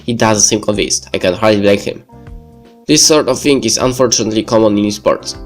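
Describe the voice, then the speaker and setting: A man's voice: on the low side, brisk, faint music behind. A man reading visual novel narration aloud as a let's player. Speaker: video game let's player.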